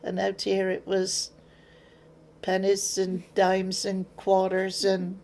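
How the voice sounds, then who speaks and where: Slow, gravelly older English woman. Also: mid-range voice, completely flat delivery, a little mellow. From England. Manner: plain-spoken storyteller, acts out remembered exchanges. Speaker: interviewee. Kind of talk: recounting memories in an interview.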